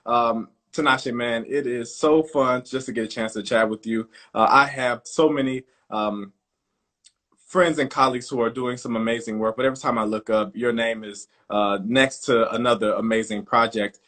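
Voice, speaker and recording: A man's voice: medium pitch, steady tone, moderate pace, sharp tone. A man hosting a live online interview in an informal, effusive, conversational way, addressing his audience as y'all. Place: live video call stream.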